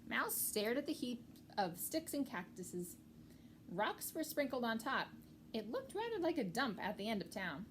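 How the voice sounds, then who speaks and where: A woman's voice: fairly high pitch, very animated, unhurried, slight background noise. A woman reading a picture book to young children, explaining things in simple, repeated words like a teacher. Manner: clear, simple explaining with repetition for small children. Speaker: children's story-time reader and teacher.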